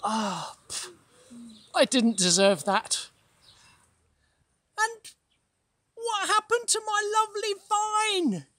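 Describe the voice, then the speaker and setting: Lady putting on a sulky bald prophet guy, groaning, super slow and draggy. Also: very high voice, big pitch swings, fairly bright tone, dry recording, exaggerated voice.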